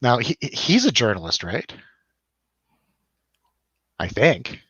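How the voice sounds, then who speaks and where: A man's voice: medium pitch, wildly swooping pitch, takes it slowly, fairly bright tone, slightly rough, no echo, muffled recording. A man hosting a casual film chat, joking around, hesitating and trailing off. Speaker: host of a film review show.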